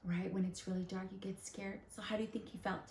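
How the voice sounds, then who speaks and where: A woman teacher leading an interactive read-aloud for children, expressive and full of guiding questions. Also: medium pitch, quick pace, roomy sound, a little hiss or hum. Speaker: elementary school teacher.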